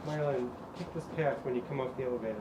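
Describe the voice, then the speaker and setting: A man on the park staff giving a visitor halting, helpful directions outdoors. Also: mid-range voice, noisy background. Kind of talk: casual directions.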